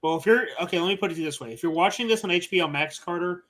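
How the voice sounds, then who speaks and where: A man's voice: high voice, rapid-fire, fairly bright tone. A man co-hosting a podcast, chatting casually and teasing his friends. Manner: bantering, teasing. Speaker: podcast co-host. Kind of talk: casual chat.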